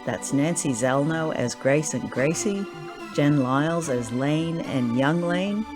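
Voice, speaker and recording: A woman's voice: low-pitched, slow pace. Woman narrating over prominent music. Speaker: narrator, writer and director. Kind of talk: scripted narration, podcast credits.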